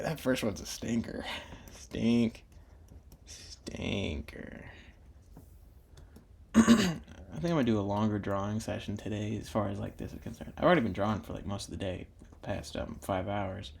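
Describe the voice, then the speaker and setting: Young male vlogger, low husky voice with gravel, rambling soft musings over a sketch session. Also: animated, moderate pace. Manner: meandering, many fillers and restarts, soft-spoken. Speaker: drawing YouTuber. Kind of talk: rambling casual talk while drawing.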